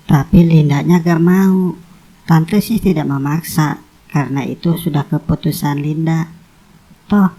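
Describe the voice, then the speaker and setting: A woman's voice: low voice, dark tone, rough recording. A woman playing a proud, wealthy, disapproving middle-aged mother in a cartoon drama, speaking in a haughty, stern manner.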